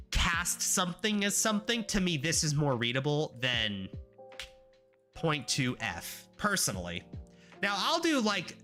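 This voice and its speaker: A stuffy, nasal man talks through his code on a live stream, rambling and joking with his chat. His voice sits fairly high and swoops around animatedly. Soft music plays underneath. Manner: chatty, rambling, wry asides to chat. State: congested, nasal. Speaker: livestreamer learning graphics programming. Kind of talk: casual live-coding stream commentary.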